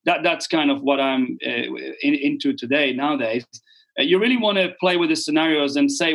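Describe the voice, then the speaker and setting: A man's voice: on the high side, a bit bright. A middle-aged man with an Israeli accent, explaining things conversationally as an interview guest in a rambling way with repeated words. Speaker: podcast guest, real estate investor.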